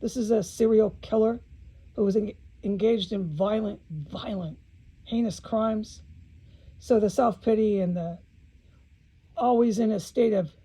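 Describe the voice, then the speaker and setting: A woman's voice: mid-range voice, unhurried, pauses often, mellow, breathy edge, low-quality recording. A woman speaking in an informal video monologue, blunt and agitated, swearing often and repeating phrases for emphasis, with an aggrieved manner.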